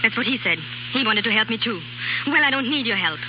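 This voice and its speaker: Actress as cold proud baroness, bitter, clipped dramatic delivery, 1930s radio drama, noisy dull recording. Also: female voice, on the high side, lively intonation, quick pace, close to an everyday voice. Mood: bitter, defiant.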